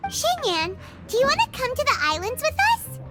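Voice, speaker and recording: A woman voicing a tiny, excitable, childlike fairy companion in a video game, with a squeaky voice over music. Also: moderate pace. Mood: curious, chatty. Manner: squeaky, speaks of herself in third person. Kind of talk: video game character acting.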